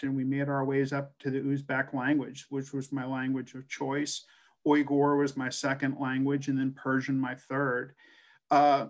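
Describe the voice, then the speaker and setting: A middle-aged man from the American West speaks into a webcam on a video call. He talks in an earnest, storytelling way, with many uh fillers and restarts. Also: medium pitch. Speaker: translator and scholar giving a talk. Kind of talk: personal presentation on a video call.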